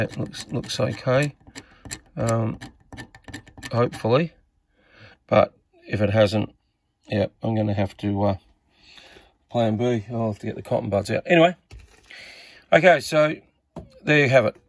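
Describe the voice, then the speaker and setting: Australian man with a creaky voice. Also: mid-range voice, measured pace, long pauses, slight background noise. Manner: hesitant, thinking aloud as he works. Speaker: radio repair hobbyist presenter. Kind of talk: casual unscripted repair walkthrough.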